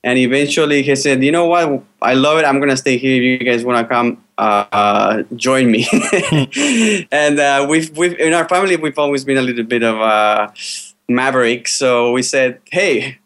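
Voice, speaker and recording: A man's voice: mid-range voice, moderate pace, fairly bright tone. Friendly male guest from Spain. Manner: hesitant, many fillers, friendly. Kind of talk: casual interview answers. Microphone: video call.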